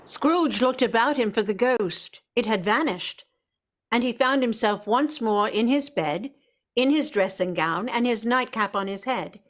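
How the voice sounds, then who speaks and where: A woman narrating a radio drama as a storyteller, in a measured, literary manner. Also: a little high, lively intonation.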